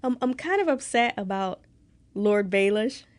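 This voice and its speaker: A woman's voice: medium pitch, animated, measured pace. A young woman co-hosting a podcast in casual chat, with a nasal, congested voice, laughing often. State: congested nose. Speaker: podcast co-host.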